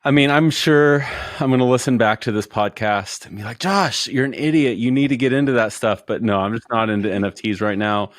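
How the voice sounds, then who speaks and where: A man with a deep voice, a guest on a podcast, talking in an easygoing, jokey and self-deprecating way. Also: a little fast. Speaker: podcast guest, retail consultant. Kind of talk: casual interview chat. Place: Houston.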